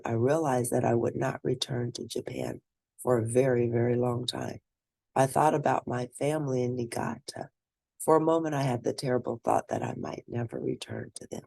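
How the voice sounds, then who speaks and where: A woman reading a scripted first-person story aloud, expressive and deliberate, with a husky, gravelly voice. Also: a bass voice, dry recording. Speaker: read-aloud narrator.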